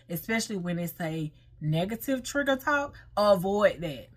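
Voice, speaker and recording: A woman's voice: mid-range voice, sharp tone. An American woman hosting an informal talk, moving between reading aloud and conversational commentary full of questions to her listeners. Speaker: online host reading and commenting on a marriage lesson. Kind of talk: casual talk-through of read material with personal commentary.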